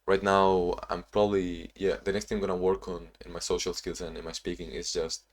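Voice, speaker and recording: A young man talking in a casual, reflective diary-style vlog, halting with frequent restarts and sounding a bit sleepy. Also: low voice, flat delivery, background noise. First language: not English; Portuguese not first language either. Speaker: vlogger.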